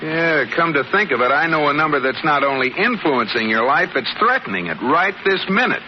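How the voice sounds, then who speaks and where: An actor playing a sensible, exasperated husband with a dry, skeptical manner in 1940s radio comedy style. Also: male voice, a little high, expressive, brisk, close to an everyday voice. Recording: muffled noisy old radio, studio audience.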